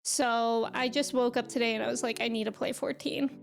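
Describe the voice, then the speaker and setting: A woman's voice: a little high, steady tone, fairly quick, gravelly. A woman hosting a livestream, chatting casually and informally as she greets her viewers, with a giggly manner. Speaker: live game streamer hosting.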